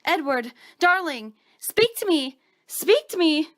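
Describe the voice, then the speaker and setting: A woman's voice: high voice, big pitch swings, slow, heavily acted. A woman playing a besotted young wife in a horror comic drama, speaking in a theatrical, melodramatic way. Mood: pleading. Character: besotted young wife, cold at first, then gushing with adoration.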